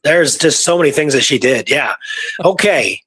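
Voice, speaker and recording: Higher-voiced man chatting through history research. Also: lively intonation, normal speed, a bit bright, husky. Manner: rambling, informal, emphatic repetition. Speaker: history researcher and show guest or co-host. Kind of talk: casual discussion of historical research.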